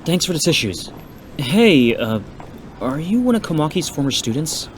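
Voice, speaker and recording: A man's voice: a little high, sing-song, moderate pace. A man playing an earnest, polite and direct young martial arts student in an amateur anime-style audio drama. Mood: determined.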